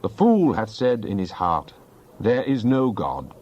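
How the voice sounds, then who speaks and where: A man playing a devout psalmist in a dramatized Bible reading, praying in an earnest, deliberate, declamatory way. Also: fairly low pitch, wildly swooping pitch, darkish tone, highs cut off, slight background noise. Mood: solemn, declamatory. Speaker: scripture reader.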